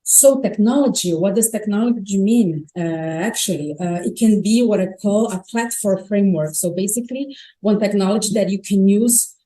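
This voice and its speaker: A Brazilian woman in her thirties, a native Portuguese speaker, speaks at a tech conference. Her voice is mellow and mid-pitched. She talks in a chatty way and often restarts her sentences. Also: moderate pace, low-quality recording. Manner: chatty, self-correcting, frequent restarts. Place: conference stage.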